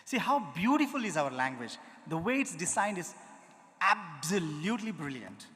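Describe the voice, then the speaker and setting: A man with an Indian accent lectures an audience, his voice sitting high for a man. He demonstrates rhythm syllables aloud and keeps asking the listeners questions. His words ring with the long reverb of a big hall. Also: big pitch swings, moderate pace, bright, forceful tone. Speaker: music lecturer. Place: large auditorium.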